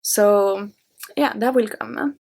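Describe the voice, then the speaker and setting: A woman's voice: medium pitch, slow pace, darkish tone. A woman in her thirties with a French accent, a French native speaker, answering viewer questions in a chatty, open way. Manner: chatty and open, with light laughs. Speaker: French teacher and YouTuber. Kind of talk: casual Q&A talking to camera.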